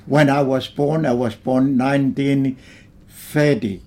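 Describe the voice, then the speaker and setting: An older man from Tonga looks back on his early life in an interview. He speaks slowly and simply, with unhurried phrasing in a mid-range voice. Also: mid-range voice, fairly even intonation, darkish tone. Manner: slow, plain reminiscing with simple phrasing. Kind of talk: oral history interview.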